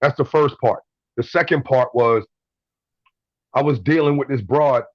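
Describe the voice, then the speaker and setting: A man's voice: mid-range voice, measured pace, no room sound, lo-fi sound. A man in his twenties calling in to a show, telling blunt stories, swearing and repeating himself. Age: 20s. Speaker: caller. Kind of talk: casual call-in story.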